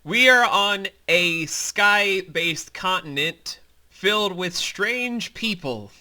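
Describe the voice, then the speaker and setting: Man, high-pitched, piercingly bright, emphatic, informal, casual host commentary. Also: animated, slow. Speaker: series creator and commentary host. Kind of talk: casual behind-the-scenes commentary.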